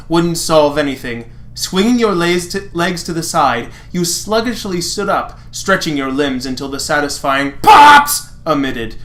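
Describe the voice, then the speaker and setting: A sardonic fan fiction reader of unclear gender in a mid-range voice. The delivery swings widely in pitch, moving between dramatic narration and mocking asides. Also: fairly bright tone. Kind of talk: dramatic reading with sarcastic asides.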